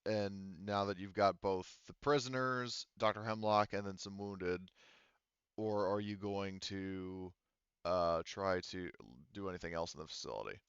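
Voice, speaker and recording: A man acting as game master, narrating a tabletop roleplaying session casually and haltingly, thinking aloud, over slightly lo-fi voice chat audio. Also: sits low, clean tone, no room sound.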